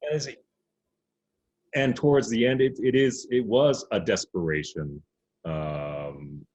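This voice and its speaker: A man's voice: fairly low pitch, fairly even intonation, slow, a little mellow, slightly rough, dull audio. A man speaking hesitantly on a panel discussion, with many restarts and fillers. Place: video call.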